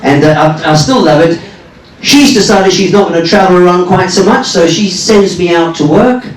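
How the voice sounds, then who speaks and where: A man's voice: high-pitched, moderate pace. Self-deprecating older man from Zimbabwe on stage. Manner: self-deprecating, joking with the audience. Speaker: cruise director and musician. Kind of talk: live stage patter between songs. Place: ship's lounge stage. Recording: roomy, from a distance.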